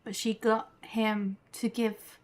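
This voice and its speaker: A woman's voice: on the high side, little pitch movement, slow, slight background noise. A woman streaming a game, giving casual, chatty commentary and musing on the story. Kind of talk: casual live gameplay commentary. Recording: game music behind.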